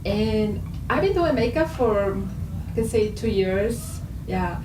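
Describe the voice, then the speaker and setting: A woman's voice: mid-range voice, lively intonation, slow. A woman introducing herself casually as a guest, in an echoey, noisy live recording. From Nicaragua. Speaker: makeup artist guest.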